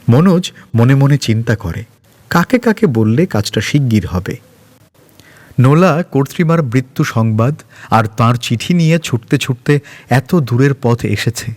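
A man's voice: mid-range voice, darkish tone. A man reading a scripted story as a narrator, with music behind him. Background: background music.

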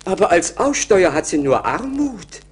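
A man's voice: high voice, big pitch swings, unhurried, breathy edge, full character voice. An actor playing a poor, older woodcutter and devoted father in a radio play, humble and earnest. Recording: noisy old vinyl radio play record.

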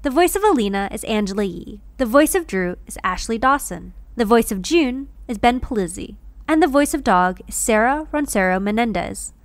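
Fairly high-voiced woman reading credits. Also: animated, moderate pace, no room sound. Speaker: credits announcer. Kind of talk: scripted credits narration.

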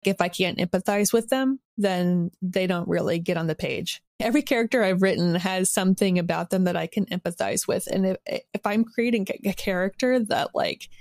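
Female podcast guest, conversational and reflective, noticeable vocal fry, dry studio-like sound. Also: medium pitch, a little mellow, no echo. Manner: conversational, halting, thoughtful. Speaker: audio drama writer, podcast guest. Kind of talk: casual interview talk.

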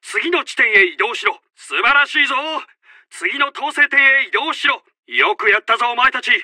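An actor playing a commanding, urgent Japanese army officer who barks out short battle announcements in a military video game. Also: male voice, extremely high pitch, normal speed, sharp tone, dry recording, full character voice. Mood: commanding, proud.

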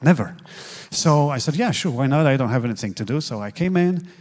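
A man in his forties from Poland with a Polish accent, a guest pastor telling his faith story on a church stage into a handheld mic, earnest and halting with many fillers. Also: medium pitch, lively intonation, a little mellow. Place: church stage, seated in armchair.

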